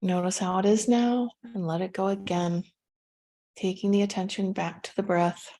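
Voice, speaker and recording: Female meditation guide, relaxed and warm in tone, mid-pitched, gentle and unhurried delivery. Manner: gentle, unhurried instruction with pauses.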